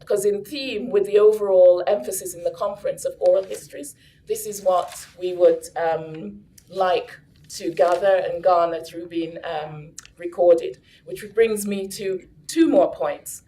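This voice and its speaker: A woman's voice: on the low side, a little slow, dark tone, a little hiss or hum, poor audio. A woman hosting a story circle at a conference, explaining how it works in a friendly way with light jokes. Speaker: host. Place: conference room.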